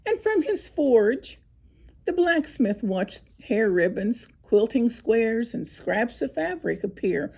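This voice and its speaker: A woman narrating a scripted children's story, with expressive, deliberate phrasing and dramatic pauses. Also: fairly high pitch, creaky, breathy edge. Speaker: storybook reader.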